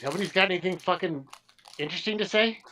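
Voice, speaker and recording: Bright, higher-voiced man chatting casually. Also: fast, slight background noise. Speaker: podcast panelist. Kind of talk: casual chat.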